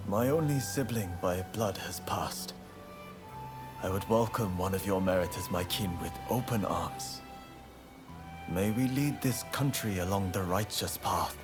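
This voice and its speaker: An actor playing an honorable young samurai in a video game cutscene, speaking formally and solemnly in measured phrases. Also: male voice, lowish voice, natural voice. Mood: sincere, warm. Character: earnest, honorable young male samurai of Choshu. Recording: music behind the voice.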